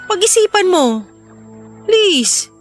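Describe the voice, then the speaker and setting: A woman's voice: extremely high pitch, very animated, takes it slowly, soft background music, exaggerated voice. An actress with a Filipino accent playing an insistent wife who pleads with and coaxes her reluctant husband in a radio drama. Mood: pleading, coaxing.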